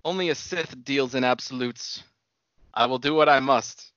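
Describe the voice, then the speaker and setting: A woman's voice: extremely low pitch, moderate pace, a bit bright, dry recording, muffled recording. A woman playing a stern, grieving male warrior mentor in an amateur drama, solemn and theatrical. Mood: solemn, resolute.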